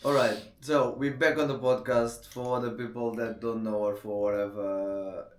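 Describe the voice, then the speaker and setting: Loose, joking podcast host in a roomy space. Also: male voice, mid-range voice, little pitch movement, normal speed. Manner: loose, joking, a bit scattered. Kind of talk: casual podcast interview. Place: room in Portugal. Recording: echoey room.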